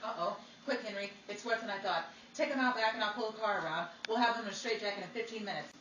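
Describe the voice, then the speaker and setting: A woman playing a brisk, bossy army WAC in 1940s radio comedy style, theatrical and quick-witted. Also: medium pitch, a bit bright, lightly acted. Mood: hushed scheming. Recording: echoey distant live recording, crowd noise.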